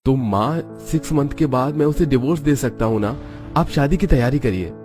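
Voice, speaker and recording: A man's voice: mid-range voice, normal speed, darkish tone, faint music behind, natural voice. A man playing a callous, rich young bridegroom in a story drama, curt and contemptuous.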